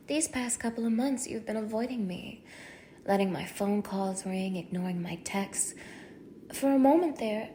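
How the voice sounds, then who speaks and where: A woman's voice: mid-range voice, slight background noise, natural voice. A young woman acting a cruel, contemptuous captor in an audio roleplay, taunting one listener in a mocking, degrading manner. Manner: mocking, degrading, swinging from hushed menace to shouting.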